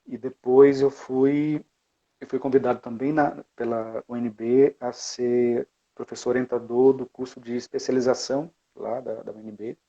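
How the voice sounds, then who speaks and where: A man's voice: mid-range voice, steady tone, normal speed, warm tone, lo-fi sound. A man from Bahia, talking as an interview guest about his path as a music educator, reflective and full of fillers and restarts. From Brazil, Bahia. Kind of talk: casual interview talk.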